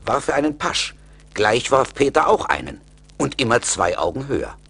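A man's voice: mid-range voice, big pitch swings, normal speed, creaky, fairly bright tone, husky. A man giving scripted fairy-tale narration in a German radio play. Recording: slightly noisy 1980s vinyl record.

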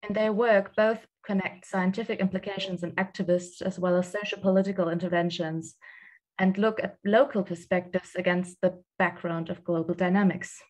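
A woman's voice: lowish voice, fairly even intonation, darkish tone, rough recording. A young woman moderating an online panel, introducing the speakers with frequent hesitations, over a headset on a video call. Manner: halting, with fillers.

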